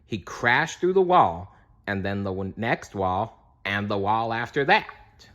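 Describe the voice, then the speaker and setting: A man reading a children's storybook aloud as scripted narration, dramatic and expressive. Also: lowish voice, slow pace. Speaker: storybook reader.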